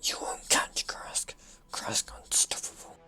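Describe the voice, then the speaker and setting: An actor voicing a menacing alien minion in an audio drama, slow and boastful, with a rasping whisper under music. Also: sharp tone. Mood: taunting. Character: menacing alien creature Grask, minion of a villain.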